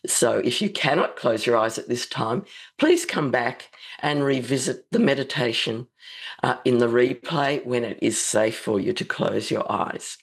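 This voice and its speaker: A woman with a slightly croaky voice hosting a psychic radio show and leading a slow, soothing guided meditation. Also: a bass voice, lively intonation. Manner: slow, soothing, gently spiritual.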